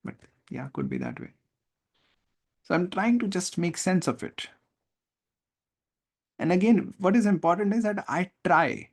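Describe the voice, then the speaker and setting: A man with an Indian accent teaching a live online lesson, stressing key words deliberately and checking in with questions. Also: high-pitched, sing-song, gravelly. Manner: deliberate, emphatic word-by-word stress, rhetorical questions. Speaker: teacher, test-prep coach. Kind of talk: live online lesson, explaining reading comprehension.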